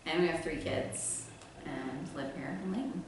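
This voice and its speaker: American female guest, notably low voice, informal conversational delivery, strong room echo with slight noise. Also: unhurried. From Midwestern United States, later Utah. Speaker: interview guest, business co-owner. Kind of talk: casual chat. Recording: very echoey room, faint background noise.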